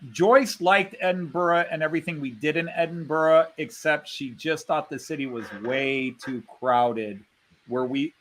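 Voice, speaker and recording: Bright, chatty male host. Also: mid-range voice, a little hiss or hum, rough recording. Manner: rambling, chatty storyteller. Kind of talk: casual chat.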